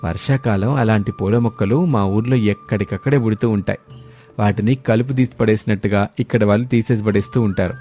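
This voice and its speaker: A man voicing a fond, encouraging young village-born husband in an animated moral story, over music. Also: fairly low pitch, a little fast, lightly acted. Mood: cheerful.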